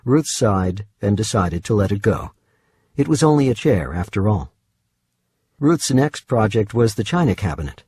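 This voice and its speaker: A man's voice: fairly low pitch, sing-song, normal speed, a little mellow, slightly rough. A man narrating fiction from a script as an audiobook reader, recorded in a studio. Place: dry studio.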